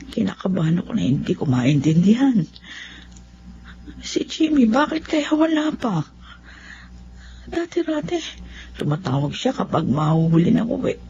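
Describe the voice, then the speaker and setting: A woman's voice: on the low side, warm tone, a little hiss or hum. An actress playing an anxious, tender mother of grown children in an emotional radio drama. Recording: muffled radio drama, faint music behind.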